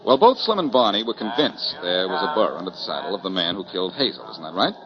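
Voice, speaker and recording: An actor playing a shrewd, self-assured amateur detective, explaining things theatrically in 1940s radio drama style. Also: male voice, low voice, sing-song, fast talker, a crowd in the background. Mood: explaining, confident. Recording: dull lo-fi 1940s radio broadcast recording.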